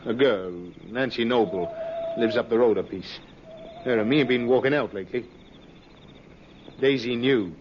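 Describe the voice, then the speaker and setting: Gruff rural farmer male actor, mid-pitched, guarded and terse, dull old radio sound. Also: normal speed, long pauses, lightly acted. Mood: guarded, uneasy. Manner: curt, suspicious. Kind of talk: old-time radio acting. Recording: muffled, noisy old radio recording.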